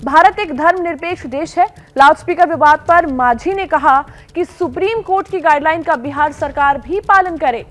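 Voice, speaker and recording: A woman's voice: high-pitched, moderate pace, few pauses. A woman reading scripted news narration as a presenter.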